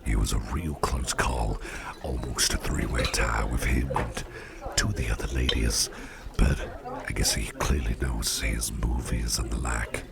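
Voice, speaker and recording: A man voicing a gracious, devilish male boss in an ASMR roleplay, praising the listener in soft whispers. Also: extremely low pitch, steady tone, measured pace, vocal fry, over loud music, full character voice. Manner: effusive, softly whispered praise.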